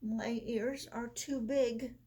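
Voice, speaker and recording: A woman puts on a mopey, self-doubting pig from a picture book. His words come slowly and plaintively in a husky voice. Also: a little high, fairly even intonation, warm tone. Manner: slow, glum and plaintive.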